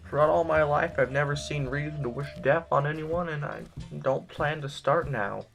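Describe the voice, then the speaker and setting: A man's voice: medium pitch, normal speed, creaky, natural voice. Man acting anxious, wordy nobleman over music. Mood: earnest, firm. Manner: hesitant, formal, ornate phrasing. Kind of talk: audio drama acting.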